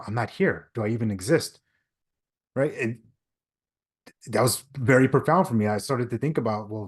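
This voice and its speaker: A man in his thirties from Long Island, a podcast guest telling a reflective personal story with frequent restarts. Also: mid-range voice. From Long Island, New York. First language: English. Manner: earnest, reflective, frequent restarts and "right?" tags. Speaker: podcast guest, leadership speaker. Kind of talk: conversational storytelling.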